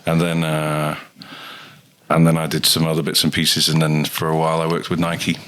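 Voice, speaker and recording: A rugby coach talking casually as a guest, with many ums and pauses. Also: male voice, a bass voice. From England. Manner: hesitant, many ums, relaxed. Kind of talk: casual interview chat.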